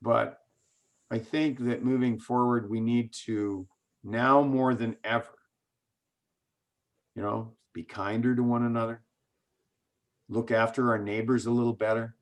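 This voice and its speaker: Man, candidate in interview, earnest rhetorical delivery, mid-pitched voice. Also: steady tone, a little slow, long pauses. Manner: earnest, speech-like, rhetorical questions. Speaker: political candidate. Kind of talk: campaign interview answers.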